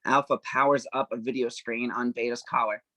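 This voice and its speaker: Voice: medium pitch, steady tone, a little fast, sharp tone, dry recording, low-quality recording. Table-read narrator, close dry sound, informal delivery of screenplay directions. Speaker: narrator reading stage directions.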